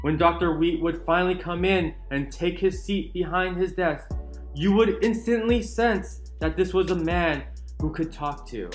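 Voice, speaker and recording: A man giving a dramatic, emphatic reading of a book, with deliberate pauses. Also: high voice. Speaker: channel narrator reading a book aloud. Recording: roomy echo with music underneath. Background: soft chill music.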